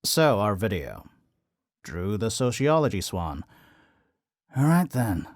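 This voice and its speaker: A man's voice: big pitch swings, slow, a little hiss or hum. A man playing a tired, awkward substitute teacher. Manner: low-key, hesitant, self-correcting.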